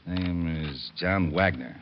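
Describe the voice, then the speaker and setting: On a muffled vintage radio recording, a weary American homicide cop with a very deep voice. He talks in terse, gruff bursts, like a hard-boiled radio drama policeman. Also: slow, slight background noise. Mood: matter-of-fact. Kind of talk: 1940s radio detective drama acting.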